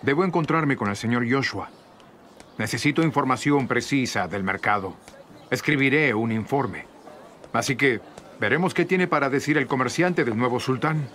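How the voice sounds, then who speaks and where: A man's voice: mid-range voice, moderate pace, fairly bright tone, slight background noise, natural voice. An actor dubbing a reserved, businesslike male envoy. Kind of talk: Spanish dub of a historical series.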